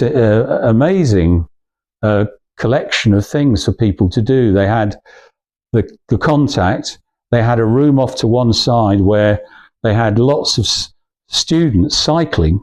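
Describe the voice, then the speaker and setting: A man's voice: mid-range voice, darkish tone. A male speaker gives an informal talk to a small group. His delivery is hesitant and anecdotal. He wanders through his points, often breaking off and starting a phrase again. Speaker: guest speaker at a club talk.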